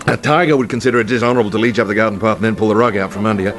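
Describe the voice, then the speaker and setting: An actor playing a breezy, slangy colleague who knows Japan well, with a brisk, jaunty manner in a radio play. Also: male voice, on the low side, fairly even intonation, a bit bright, natural voice. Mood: breezy, knowing. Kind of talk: radio drama acting.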